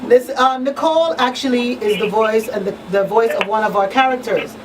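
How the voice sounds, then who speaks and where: A woman's voice: on the high side, brisk, a loud crowd. Distant-miked woman hosting a talk show. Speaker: radio talk show host and coalition advocate. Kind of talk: conversational talk show discussion. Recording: distant mic, faint background noise.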